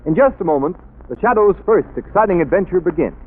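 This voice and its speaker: A man announcing a 1930s radio drama in a booming, declamatory style. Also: animated, fast, breathy edge. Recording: tinny old radio broadcast.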